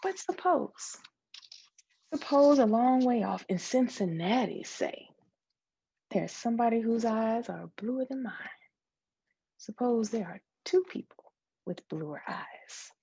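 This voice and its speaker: A woman's voice: a little high, expressive, takes it slowly, gravelly, long pauses, warm tone, dull audio, slight background noise, heavily acted. A woman voicing an anxious young girl who pleads and keeps asking insistent questions in a dramatic literary read-aloud. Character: young girl, anxious, pleading, obsessed with having blue eyes.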